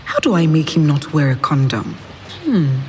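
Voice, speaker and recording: Low, reverberant scheming young woman. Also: big pitch swings, moderate pace, a little mellow, slight background noise. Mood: scheming, longing. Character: scheming, lovestruck young woman plotting alone. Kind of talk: audio drama monologue. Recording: long reverb with music underneath.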